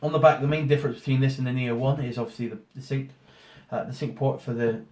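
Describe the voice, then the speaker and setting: A man with a hoarse voice from a cold, casually talking through an unboxing with lots of ums and restarts. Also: mid-range voice, steady tone, fast talker, darkish tone. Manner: rambling, many ums and restarts. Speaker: photographer doing an unboxing. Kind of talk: casual product walkthrough. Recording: roomy echo, faint noise.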